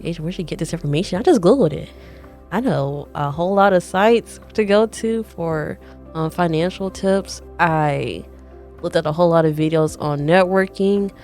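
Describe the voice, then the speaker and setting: A young woman telling her own story as a podcast guest, chatty and lively, quoting herself often. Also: low-pitched. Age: young adult. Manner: chatty, quick bursts, frequent "like" and quoted self-talk. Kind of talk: casual personal storytelling.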